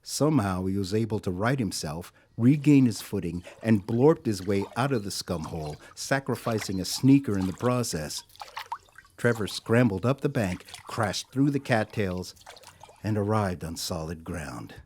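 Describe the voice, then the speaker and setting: A man narrating a scripted audio drama as a storyteller, with a measured, wry, theatrical delivery. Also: on the low side, lively intonation, darkish tone, slight background noise, close to an everyday voice.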